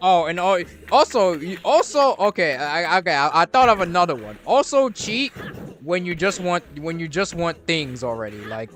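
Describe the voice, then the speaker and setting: A man's voice: high-pitched, very animated, normal speed, fairly bright tone, faint music behind. A young man giving rambling, casual commentary while playing a video game, restarting his sentences and swearing freely. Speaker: gaming streamer.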